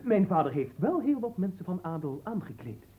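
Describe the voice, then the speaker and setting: A man's voice: high-pitched, big pitch swings, moderate pace, dark tone. A man acting in a radio drama as a humble, earnest young manservant, speaking formally and politely with some hesitance. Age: young adult.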